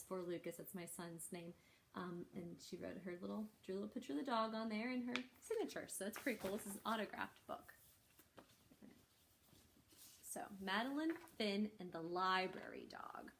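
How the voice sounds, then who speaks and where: A woman hosts a children's library storytime in a chatty, informal way. Her voice sits at a middling pitch as she casually introduces a read-aloud. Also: a little hiss or hum.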